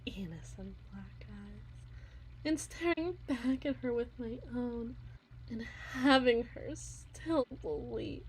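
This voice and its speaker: A woman's voice: sits high, bright, forceful tone, slightly rough, exaggerated voice, poor audio. A woman playing a bitter, unhinged, anguished wife in a dramatic ASMR roleplay monologue, theatrical and moving between whispers and intense outbursts.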